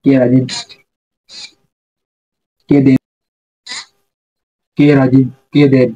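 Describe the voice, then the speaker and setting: A man's voice: medium pitch, flat delivery, drawn-out words, slightly rough, phone-quality sound. A young man, chatting casually and crudely as a prank-call video host. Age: young adult. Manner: informal, slangy, crude. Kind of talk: casual chat to viewers and prank calls.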